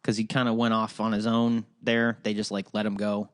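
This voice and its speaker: A man's voice: fairly low pitch, completely flat delivery, moderate pace, no echo, clean studio sound. A young man from Ohio with a Midwestern accent, hosting a solo podcast in a rambling, profane, casual way. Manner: rambling, profane, full of fillers and restarts. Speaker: podcast host.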